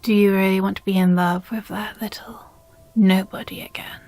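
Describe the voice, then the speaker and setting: A woman playing a possessive, jealous demon girlfriend in an intimate audio roleplay, breathy and often whispering, with condescending sweetness. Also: mid-range voice, little pitch movement, a little mellow, close to an everyday voice. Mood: condescending, cold. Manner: slow, deliberate, sighing, condescendingly sweet.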